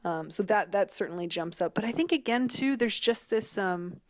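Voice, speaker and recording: A woman giving conversational analysis as a guest commentator, thoughtful and halting as she searches for words. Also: mid-range voice, animated, no room sound, clean studio sound.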